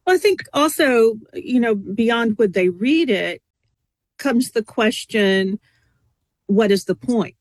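A woman's voice: a little high, lively intonation, slow, no echo. A woman interviewing conversationally, thinking aloud as she puts probing, challenging questions.

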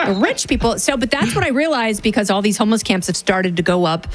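Female radio guest, mid-pitched, conversational and wry, casual back-and-forth commentary. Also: lively intonation, fast talker. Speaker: talk show guest. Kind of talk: casual political talk radio banter.

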